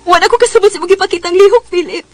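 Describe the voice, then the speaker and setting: A woman's voice: very high-pitched, very fast. An actress playing an emotional, pleading young woman betrayed by her boyfriend, in a radio drama. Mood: pleading, tearful. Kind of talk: radio drama acting and first-person narration. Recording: music behind.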